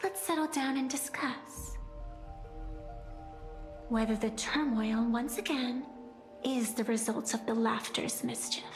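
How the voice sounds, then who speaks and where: A woman's voice: on the high side, fairly even intonation, slow pace, long pauses, roomy sound, in a large hall. A woman voicing a haughty, mischievous little immortal spirit girl in a video game, with a pompous, theatrical manner. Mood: flustered, placating. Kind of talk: scripted video game voice acting. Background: music.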